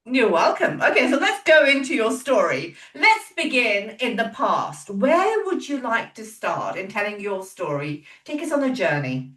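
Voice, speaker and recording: A woman's voice: a little high, big pitch swings, unhurried, fairly bright tone. A woman hosting a podcast, upbeat and emphatic in a motivational way. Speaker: podcast host. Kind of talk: scripted intro then casual interview chat. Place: home, in British weather. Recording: roomy echo, a bit far from the mic, faint music.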